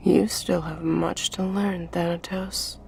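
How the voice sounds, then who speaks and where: A woman playing a gaunt, skeletal Reaper in an audio drama, speaking in a measured, formal, condescending way with a creaky voice. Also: lowish voice, little pitch movement, slight background noise. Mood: faintly amused. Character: gaunt, skeletal female Reaper on a bone throne, coolly amused. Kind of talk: scripted audio drama acting. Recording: music under the voice. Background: music.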